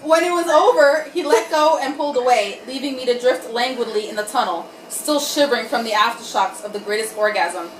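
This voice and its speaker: Woman, tipsy comic reader, highish voice, irreverent delivery with asides. Roomy recording with faint noise. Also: fairly quick, few pauses. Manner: irreverent, giggly, tipsy, breaks off to comment. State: tipsy from wine. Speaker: reader and host of a comic group reading. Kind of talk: dramatic reading of erotic fiction with joking asides. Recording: roomy, distant mic, faint background noise.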